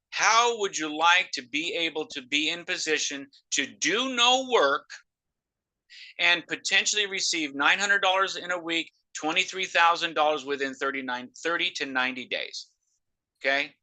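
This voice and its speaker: A man giving a loud, emphatic sales pitch on a live video call through a noise-cancelling microphone. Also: normal speed.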